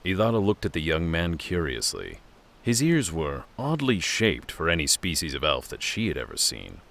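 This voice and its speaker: Very deep, gravelly male fantasy narrator. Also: wildly swooping pitch, moderate pace, a bit bright. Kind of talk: dramatic scripted fantasy narration.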